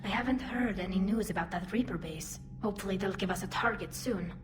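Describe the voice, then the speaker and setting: An actress playing a young, modest, war-weary alien admiral in a video game. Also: female voice, medium pitch, brisk, lightly acted.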